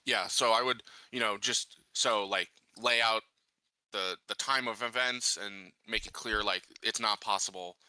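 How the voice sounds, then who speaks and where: A man playing a tabletop game, improvising casually and haltingly as he searches for words. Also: on the low side, fairly even intonation, bright, forceful tone, no room sound, rough recording.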